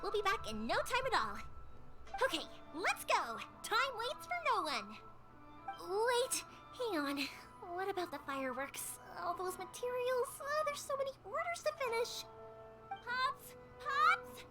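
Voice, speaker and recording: Very high, chatty upbeat young woman. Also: big pitch swings, a little slow, over music, full character voice. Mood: reassuring, then brisk, excited. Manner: breezy, reassuring, eager to help. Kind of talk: animated video game voice acting.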